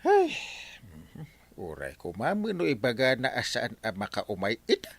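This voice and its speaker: A man's voice: mid-range voice, wildly swooping pitch, unhurried, vocal fry, slightly rough, heavily acted. A man playing a blustering, easily offended village husband in an Ilocano comedy radio drama, with an Ilocano accent. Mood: grumbling. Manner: blustering, exclaiming, confrontational. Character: hot-tempered village husband, quick to take offence.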